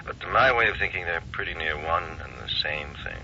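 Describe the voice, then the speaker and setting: A calm, philosophical American doctor in a vintage radio play. His low voice has a hard, extremely bright edge and a measured, reflective delivery. The old recording is dull. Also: slight background noise, full character voice. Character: calm, philosophical doctor roused by late call. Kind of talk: old-time radio drama acting.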